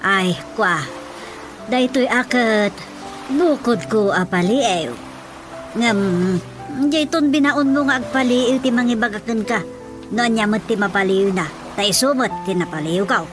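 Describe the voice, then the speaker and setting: A woman's voice: on the high side, a little slow, lightly acted. A Filipino woman playing a wife who questions and banters with her husband in a radio comedy drama, in a conversational manner full of exasperated interjections. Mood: questioning. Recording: music bed under the dialogue.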